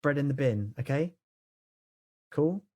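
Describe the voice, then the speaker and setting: A man's voice: mid-range voice, lively intonation, measured pace, warm tone. A British man plays along in an improvised roleplay game. He talks casually, with an emphatic, exasperated way of repeating himself. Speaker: player in a tabletop roleplay podcast.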